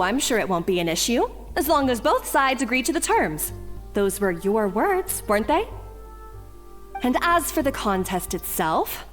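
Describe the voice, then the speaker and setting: A woman playing a confident, sharp-tongued rival chef who goads her opponent in a video game. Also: on the high side. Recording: long reverb with music behind.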